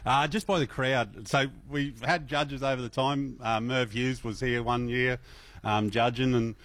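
A middle-aged man with an Australian accent, a festival MC chatting as a radio interview guest in a relaxed way, with many fillers and restarts. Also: mid-range voice, a little slow, dry recording.

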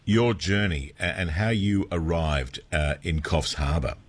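An Australian man hosting a local breakfast radio show, interviewing a guest in a relaxed, slightly halting way. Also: low voice, breathy edge. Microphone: studio microphone.

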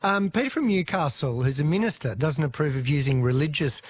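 A man's voice: on the high side, lively intonation, fairly quick, husky, no echo, clean studio sound. A man hosting a radio call-in show, chatting with callers in a friendly, interested way. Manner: friendly, interested, brief affirmations. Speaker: radio host. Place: radio studio. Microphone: studio mic.

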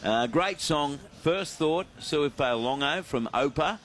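Chatty Australian sports radio host. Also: male voice, on the high side, expressive, slow pace, fairly bright tone, slight background noise. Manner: chatty, reads listener texts aloud. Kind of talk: casual sports radio banter.

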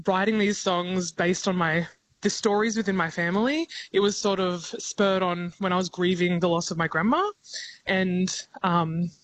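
An Australian woman, a singer-songwriter, talking thoughtfully in a radio interview over the phone, laughing often and pausing with ums. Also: on the low side, low-quality recording. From regional New South Wales, Australia. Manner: thoughtful, giggly, many ums and restarts.

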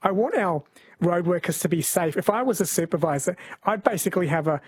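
Brief: female radio host, husky tone, casual and playful banter. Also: on the low side, lively intonation, brisk, a little mellow. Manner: chatty and playful, a few hesitant restarts.

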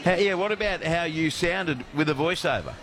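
A man's voice: on the high side, animated, a crowd in the background. An Australian man hosting a sports radio show, bantering and reading out listener texts. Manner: laughing, quick banter, reads out listener texts. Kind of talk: casual radio chat.

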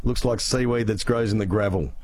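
A man with an Australian accent and a gravelly voice, hosting casual radio chat and reading out listener texts. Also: on the low side, a little fast, darkish tone. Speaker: radio host.

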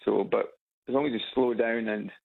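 Edinburgh Scottish male caller, husky voice, halting, thin phone line. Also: lowish voice, fairly even intonation. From Scotland. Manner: hesitant, repeats words, chuckles at own stories. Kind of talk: casual phone chat. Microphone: phone on loudspeaker.

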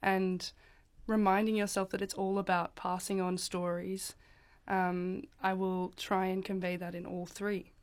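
A woman's voice: medium pitch, flat delivery, measured pace. A young woman singer, a guest who answers hesitantly and thoughtfully.

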